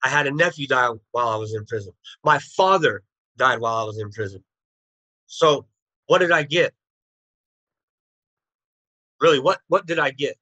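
A man's voice: medium pitch, animated, normal speed, pauses often, pushed and bright, no echo, lo-fi sound. A man in his thirties, a guest on a video call, bluntly recounting his past in plain street slang. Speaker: interview guest. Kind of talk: telling his life story.

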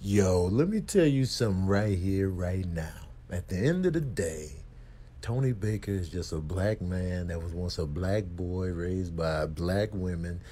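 A man's voice: deep voice, darkish tone. A man doing improvised comic commentary in a slangy, exclamatory, playfully ranting manner. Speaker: comedian making animal voice-over videos. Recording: noticeable background noise.

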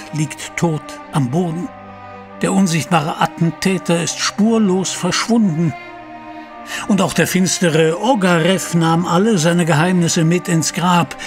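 An actor playing a courtly author-narrator, telling an adventure in formal, theatrical, scripted narration. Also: male voice, fairly high pitch.

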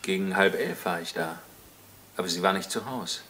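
A dutiful detective in a crime drama, matter-of-fact, with a low but forceful, bright voice. The soundtrack is a little noisy. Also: male voice, normal speed. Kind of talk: German television crime drama acting.

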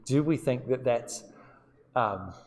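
Man, New Zealand accent, university law lecturer, casual and chatty, quizzes students, middle pitch, echoing lecture hall. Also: slow, darkish tone. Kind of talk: live-streamed seminar teaching. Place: lecture room with long reverb. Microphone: distant room microphone. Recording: reverberant lecture hall sound.

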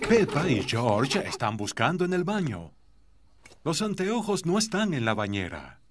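A man's voice: a little high, big pitch swings, a little slow, soft background music. A man narrating a children's cartoon in simple storybook style, telling the story to small children. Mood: clear, storytelling.

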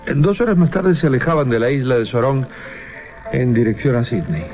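Un hombre narra un relato de terror radiofónico con suspense y titubeos deliberados. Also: male voice, mid-range voice, lively intonation. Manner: suspenseful, with deliberate hesitations and repetitions. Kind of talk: dramatic horror storytelling for radio. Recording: dull 1980s radio recording. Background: music.

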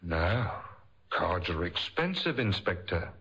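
A too-helpful murder suspect in a vintage radio crime drama. His pitch moves around a lot as he talks, polite and careful, faintly ingratiating while outwardly at ease. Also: sing-song, slow pace, fairly bright tone, husky, highs cut off, heavily acted. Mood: unruffled, obliging. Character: smooth, over-cooperative male murder suspect, outwardly at ease. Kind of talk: mid-century radio crime drama acting.

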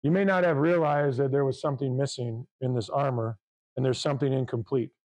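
Loud preaching man, mellow voice. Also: mid-range voice, steady tone, brisk, lo-fi sound. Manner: fervent preaching, reading scripture aloud. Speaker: pastor. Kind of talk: sermon.

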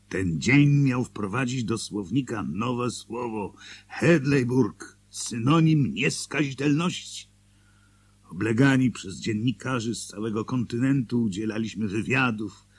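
Rueful proud townsman, very low voice, husky, animated storytelling, radio play narration. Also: exaggerated voice. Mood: proud, wry. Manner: dramatic, deliberate storytelling. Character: proud, then rueful small-town citizen telling his story.

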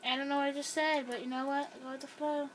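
A woman's voice: high-pitched, little pitch movement, fast talker, a little hiss or hum, poor audio. A young woman chatting casually and rambling while she plays a game, filmed by a camera pointed at a screen. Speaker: gameplay video host. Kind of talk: casual chat while playing. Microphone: camera filming a screen.

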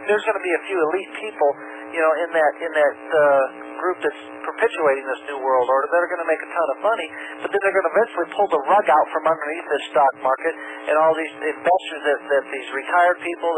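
A man with a fairly high voice talks on a radio phone-in as a precious metals seller. He is earnest and halting, repeating himself. The 1990s broadcast recording is clearly noisy. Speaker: call-in precious metals dealer. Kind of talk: radio phone-in talk, sales pitch.

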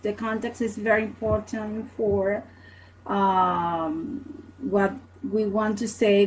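Very slow-speaking Venezuelan woman teacher. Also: a little high, a little mellow, low-quality recording. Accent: Venezuelan Spanish. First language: Spanish. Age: middle-aged. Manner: halting, hesitant, repeats words. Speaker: language teacher. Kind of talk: online language lesson. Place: small home office, indoors. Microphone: computer webcam at desk distance.

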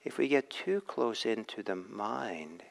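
Deep voiced guy teaching meditation, really slow n measured, lots of pauses, reflective. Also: expressive, gravelly. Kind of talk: guided meditation talk.

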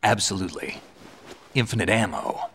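Gruff game soldier hero: very low, hard-edged, terse and wry. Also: male voice, expressive, moderate pace, a bit bright, slight background noise. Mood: wry, assured. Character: gruff, confident, wry male veteran soldier. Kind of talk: video game cutscene acting.

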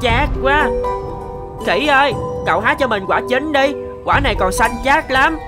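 A woman's voice: high-pitched, expressive, normal speed, loud music behind the voice. A woman voicing a kind, naive, friendly little crab in a children's cartoon, earnest and eager. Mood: upset, pleading.

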